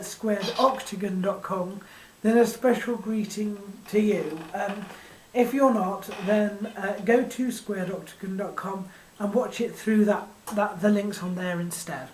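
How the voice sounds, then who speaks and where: A woman with a hoarse voice talks through a recap in a loose, hesitant way, filling gaps with ums and uhs. Her voice sits mid-pitch for a woman. The room is echoey, with a faint hiss behind her. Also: a little mellow. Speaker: fight card recap vlogger. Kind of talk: casual spoken recap.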